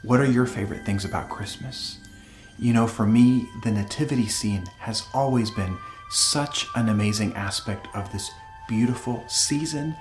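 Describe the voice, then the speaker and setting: Earnest man hosting devotional videos. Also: fairly low pitch. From El Salvador. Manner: earnest, reverent, unhurried. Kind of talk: devotional storytelling and reading aloud.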